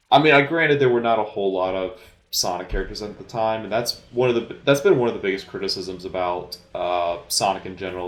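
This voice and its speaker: A man giving casual, unscripted movie commentary as an amateur, speaking hesitantly with halting, trailing pauses. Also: deep voice, reverberant.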